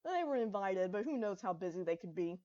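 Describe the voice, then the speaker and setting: A woman's voice: medium pitch, animated, a little fast, mellow, highs cut off, lightly acted. A woman voicing a laid-back, modest young female pony in a storybook fan fiction reading. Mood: relaxed.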